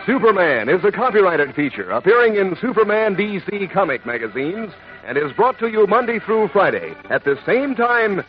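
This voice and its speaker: A man announcing as a chummy, enthusiastic sponsor pitchman, in folksy 1940s children's radio advertising style. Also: very animated, normal speed, soft background music. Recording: dull old radio recording.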